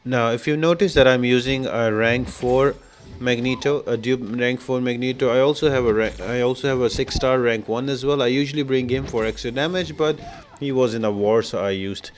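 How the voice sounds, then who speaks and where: A man's voice: medium pitch, a little fast. A man giving instructional, step-by-step commentary as the host of a video game guide. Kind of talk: game walkthrough commentary, explanatory.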